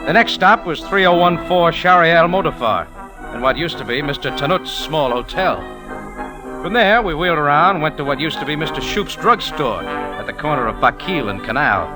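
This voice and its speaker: A man's voice: fairly high pitch, lively intonation, normal speed, lightly acted, rough recording. An American man playing a cool, wry private investigator, narrating his case in dry, clipped 1940s radio style. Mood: matter-of-fact. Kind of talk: 1940s radio acting, first-person hardboiled narration. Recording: old radio recording with music behind.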